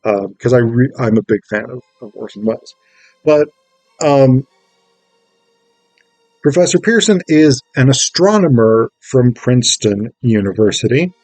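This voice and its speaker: A man's voice: medium pitch, animated, measured pace, a little mellow, dry recording, soft background music, poor audio. A man giving a casual spoken review, enthusiastic and digressive, often thinking aloud as he goes. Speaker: amateur stage actor reviewing a play script.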